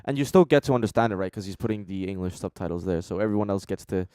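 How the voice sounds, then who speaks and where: A man's voice: sits low, rapid-fire, warm tone, dry recording, clean studio sound. A man from Denmark chatting casually as a co-host of a reaction show, with a blunt, joking, sweary manner. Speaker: co-host reviewing beatbox competition entries.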